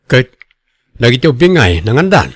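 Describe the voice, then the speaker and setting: A man's voice: mid-range voice, big pitch swings, normal speed, slightly rough, no echo. A man acting a proud, defensive husband and father in a radio drama, speaking with an Ilocano Filipino accent. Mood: grumbling, defensive.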